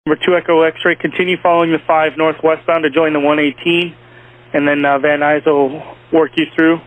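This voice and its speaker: Even-toned male tower controller on narrow radio. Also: on the high side, brisk, no echo. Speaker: airport tower air traffic controller. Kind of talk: procedural air traffic radio instructions. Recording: thin narrowband air traffic radio.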